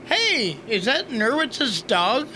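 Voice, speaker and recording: Man as self-important space captain, bumbling, bright forceful voice, slow swooping delivery, faint background noise. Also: very high-pitched, sing-song, exaggerated voice. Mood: flustered. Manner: hesitant, flustered, blustering. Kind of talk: sci-fi comedy audio drama acting.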